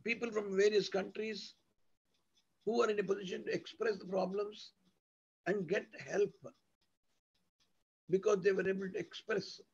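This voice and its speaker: A man with an Indian accent and a husky voice gives an academic lecture, explaining with examples in an emphatic, didactic way and piling up synonyms and lists. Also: sits high, level tone, normal speed, long pauses. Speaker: university lecturer. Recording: dull lo-fi audio.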